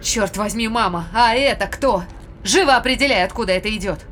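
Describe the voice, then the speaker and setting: An actress playing a panicky, sharp-tongued female spaceship crew member in an audio drama. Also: medium pitch, brisk, a little hiss or hum, close to an everyday voice. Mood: alarmed. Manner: agitated, scolding.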